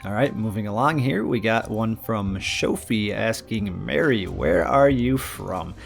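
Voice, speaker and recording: A man's voice: fairly low pitch, animated, measured pace, vocal fry, faint music behind. A man, chatting casually and rambling a bit as he answers viewer questions and covers game news. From Minnesota, USA. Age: mid-20s. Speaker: gaming channel host.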